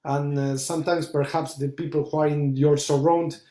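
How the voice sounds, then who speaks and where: A man, a rabbi talking in a halting way, stopping often to search for words. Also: on the high side, little pitch movement, mellow, echoey room. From Argentina. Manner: halting, searching for words, many fillers and restarts. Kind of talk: conversational discussion, switching between English and Portuguese.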